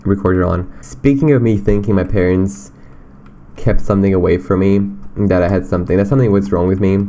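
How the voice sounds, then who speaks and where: Young man, Romanian accent, mellow low voice, rambling podcast monologue, quick delivery. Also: fairly even intonation, slight background noise. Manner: rambling, self-deprecating, frequent "like" and "dude". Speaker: podcast host.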